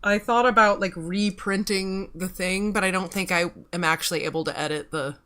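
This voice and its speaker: A woman's voice: on the low side, fairly even intonation, moderate pace, fairly bright tone. A woman in her thirties chatting casually on a stream while she works, rambling and swearing offhandedly as she reads out the chat. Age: 30s.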